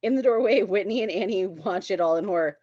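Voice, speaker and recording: A woman's voice: medium pitch, fast, mellow, no echo, muffled recording. A woman reading screenplay stage directions aloud at a table read, in a giggly way. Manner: giggly, often breaking into laughter.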